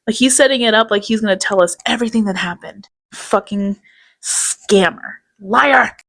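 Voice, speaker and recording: A woman's voice: mid-range voice, normal speed, a bit bright. A woman hosting a commentary video, reacting to a streamer's clips with sarcasm and scorn, and swearing. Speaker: commentary host.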